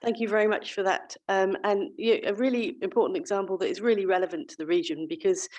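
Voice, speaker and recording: A woman's voice: mid-range voice, steady tone, fast, a little mellow. A woman moderating an online breakout session over a video call, talking with many fillers and restarts. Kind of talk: online meeting facilitation.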